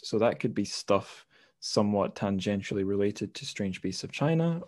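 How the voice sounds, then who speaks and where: A man hosting a book podcast, talking in a relaxed, friendly way with small fillers. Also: fairly low pitch, dry recording, clean studio sound. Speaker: podcast host. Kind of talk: casual podcast interview.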